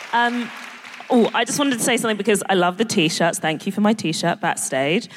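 A woman's voice: fairly high pitch, noisy background. Woman, comedian guest, bubbly, giggly, playful slang, long hall reverb. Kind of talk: live stage conversation. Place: concert hall stage.

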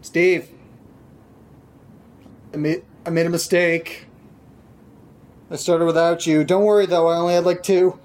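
A man's voice: fairly high pitch, little pitch movement, a little slow, long pauses, a little mellow, natural voice. A man acting an anxious, mopey, sardonic young man in a naturalistic audio drama, speaking hesitantly. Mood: tipsy, teasing.

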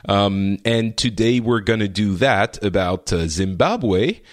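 Hesitant podcast host, low voice. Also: male voice, lively intonation, slow, no echo, studio quality. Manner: hesitant, filler-heavy. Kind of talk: hosting a chat podcast.

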